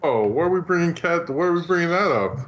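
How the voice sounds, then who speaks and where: A man's voice: on the high side, expressive, quick pace, fairly bright tone. A man chatting casually as a podcast panelist on an online call, often circling back with "like" as he argues. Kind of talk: casual chat, profane.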